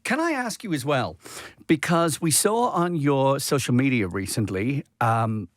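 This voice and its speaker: A man's voice: medium pitch, animated, measured pace, dry recording. British male radio interviewer, dry close sound. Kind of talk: casual interview chat.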